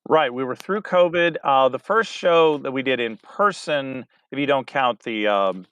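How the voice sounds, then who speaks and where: A middle-aged man with a medium-pitched voice talks in a loose, rambling way. He stops often to restart his sentences and fills the gaps with ums and uhs. The sound is dry and close. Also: fairly bright tone, smooth, dry recording. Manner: rambling, halting, many fillers and restarts. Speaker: interview guest, theater director. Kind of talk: casual interview talk.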